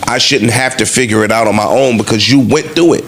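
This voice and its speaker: A man's voice: medium pitch, fast, a little hiss or hum. An American man on a podcast panel, making his case casually and emphatically with repeated points.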